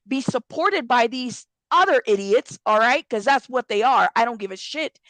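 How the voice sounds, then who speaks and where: A woman's voice: high-pitched, fairly bright tone, no echo. A woman hosting a commentary channel, ranting bluntly and profanely, repeating points for emphasis. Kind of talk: heated commentary rant.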